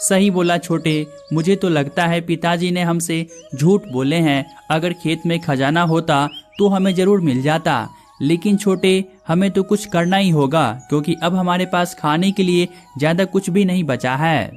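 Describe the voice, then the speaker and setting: A man's voice: a little high, unhurried, soft background music, natural voice. A man playing a lazy, scheming young village son in a cartoon story, with plaintive scripted delivery. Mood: disgruntled.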